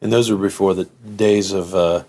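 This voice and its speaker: Male host, deep and mellow, asks long rambling questions in a polite way. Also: steady tone, normal speed. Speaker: podcast host. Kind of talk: interview questions.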